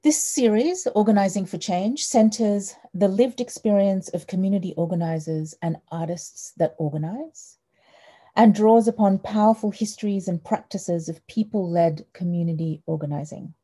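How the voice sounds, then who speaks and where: A middle-aged woman from Australia hosting an online talk over a headset on a video call, measured and warm with frequent ums. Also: medium pitch. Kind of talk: welcoming introduction on a video call. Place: small wood-panelled room indoors.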